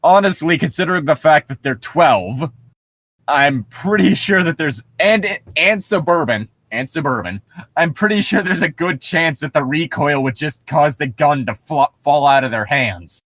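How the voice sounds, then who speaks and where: Male podcast co-host, lively and swooping, fairly high-voiced, mock-incredulous, casual comedic read. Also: dry recording. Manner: animated, quick to laugh, mock-incredulous asides. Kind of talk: casual comedic reading and riffing on a story.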